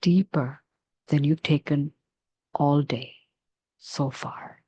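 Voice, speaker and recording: A woman leading a guided meditation in a soft, soothing, measured way with long pauses between phrases. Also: sits low, fairly even intonation, breathy edge, dry recording, muffled recording.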